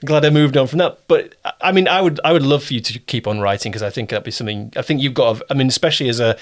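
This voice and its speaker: A British man talking as a casual interviewer, encouraging, often halting and circling round his point. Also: medium pitch. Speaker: interviewer, producer.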